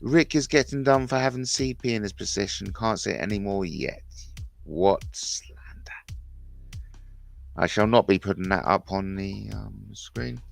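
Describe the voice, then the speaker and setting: A man's voice: fairly low pitch, moderate pace, vocal fry, faint music behind. A man in his fifties hosting a rambling, jokey livestream chat, repeating himself and mentioning his speech impediment. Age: 50s. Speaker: livestream host.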